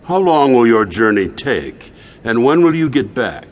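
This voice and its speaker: A man playing an ancient Persian king who asks calm, measured questions in a dramatized Bible recording. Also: medium pitch. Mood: inquiring. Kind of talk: dramatized audio Bible reading.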